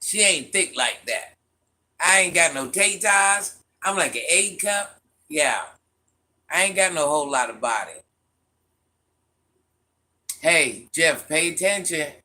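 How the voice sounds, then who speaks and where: Woman livestream host, sharp bright voice, teasing and chatty with her chat. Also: sits low. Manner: teasing, talks straight to viewers by name, laughs often; calls herself Granny. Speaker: livestream host reading viewer comments.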